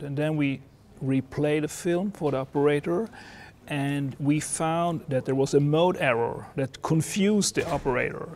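A man in his fifties with a Swedish accent explains his research in an interview, in measured, halting non-native English. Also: fairly high pitch, gravelly, a little mellow, slight background noise. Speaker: air traffic researcher and former controller. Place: indoor seated interview in a lounge or office. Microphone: lapel mic.

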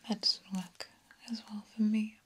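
A young woman whispering breathily in an ASMR roleplay as a genteel, sinister vampire countess, hushed and deliberate, with long pauses. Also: medium pitch, little pitch movement. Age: young adult. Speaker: ASMR performer. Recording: clear background noise and ambience.

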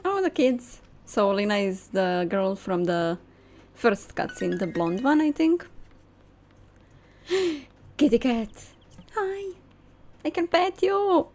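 Young female game streamer, high-pitched and animated, chatting casually over game music. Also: slow pace, long pauses. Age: young adult. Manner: musing aloud, delighted. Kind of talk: casual playthrough commentary.

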